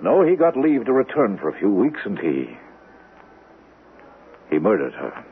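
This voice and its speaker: An actor playing a suave, probing doctor with veiled menace in a radio mystery, speaking in a measured, insinuating way with deliberate pauses. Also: male voice, on the low side, huge pitch swings, exaggerated voice. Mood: grave, veiled. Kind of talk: old-time radio mystery acting. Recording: tinny band-limited vintage radio, faint hiss.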